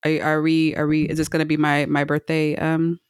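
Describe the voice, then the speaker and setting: A woman's voice: low voice, monotone, brisk, smooth, dry recording, studio quality. A woman co-hosting a podcast in casual chat, rambling in a playful way and asking lots of questions. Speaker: podcast co-host. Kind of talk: casual chat about movie trailers.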